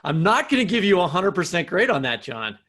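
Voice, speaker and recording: A man's voice: sits high, sing-song, a little fast, a bit bright. A man co-hosting a podcast in casual, informal, rambling conversation. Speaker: podcast co-host, novelist.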